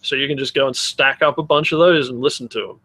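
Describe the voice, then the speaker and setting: A man's voice: medium pitch, steady tone, fast talker, sharp tone. An Australian man co-hosting a podcast in casual chat, blunt and joking, swearing freely. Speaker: podcast co-host.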